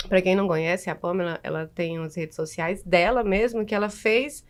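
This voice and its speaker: A woman's voice: lowish voice, moderate pace. A woman hosting a podcast, introducing her guest and summing up her work in a casual, friendly way. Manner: warmly introduces and sums up the guest. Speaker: podcast host.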